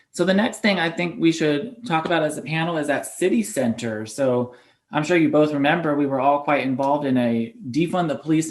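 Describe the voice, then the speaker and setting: A man hosting an activist panel, moderating the discussion and introducing speakers and questions. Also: a little high.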